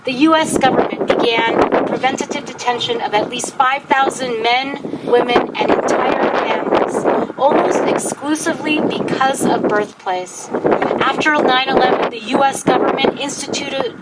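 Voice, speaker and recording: High-pitched woman giving a speech in loud wind. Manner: solemn, deliberate, formal phrasing. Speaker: speaker at a commemorative gathering. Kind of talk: prepared public speech, read aloud. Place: outdoors at a historic site. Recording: wind-buffeted outdoor event microphone, loud noise.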